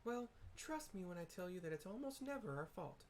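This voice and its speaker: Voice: medium pitch, big pitch swings, a little fast, a little mellow, a little hiss or hum, pitched above the performer's own voice, close to an everyday voice. A man voicing an upbeat, chatty young woman adventurer who is easily miffed in a fantasy game. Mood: cheerful, chatty. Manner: chatty, exclaiming.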